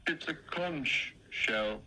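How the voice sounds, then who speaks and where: A man voicing a gentle, mildly fussy male lion for a stop-motion cartoon. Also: on the high side, expressive, extremely slow, slight background noise, full character voice, low-quality recording.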